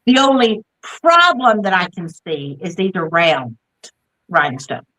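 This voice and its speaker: A woman's voice: fairly low pitch, sing-song, a little slow, pushed and bright, poor audio. A woman with a Southern US accent chatting casually as a livestream co-host, rambling and saying y'all. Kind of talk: casual chat. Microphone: video call.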